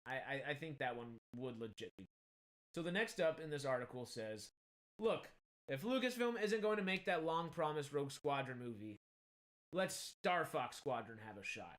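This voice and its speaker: A man in his thirties with a slight Texan accent, hosting casual film news commentary in an animated, rambling, emphatic way. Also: medium pitch, normal speed. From Texas. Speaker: film news show host.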